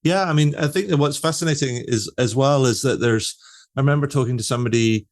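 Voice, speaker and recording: A man's voice: medium pitch, fast talker. A man hosting an interview, talking in a loose, conversational way with many fillers such as "you know" and "like". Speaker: interview host.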